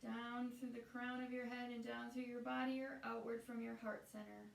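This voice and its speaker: A woman guiding a meditation as a teacher, speaking in a soothing, unhurried way with pauses between phrases. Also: fairly high pitch, flat delivery, gravelly, slight background noise. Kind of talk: guided meditation. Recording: roomy echo, distant from the mic.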